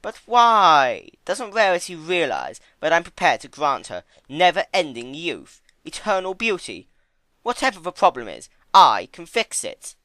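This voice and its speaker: A man plays a theatrical male spirit of chaos in a dramatic story reading. He is menacing and possessive, with bright, forceful emphasis. His pitch swings widely and the sound is dry and close. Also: huge pitch swings, measured pace, no room sound, full character voice. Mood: indignant pleading. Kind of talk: dramatic fan-fiction reading.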